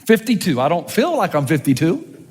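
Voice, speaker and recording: A man in his fifties, a pastor, preaching a sermon with animated, joking delivery and rhetorical questions. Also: a little high, moderate pace, husky, long reverb tail. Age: 50s.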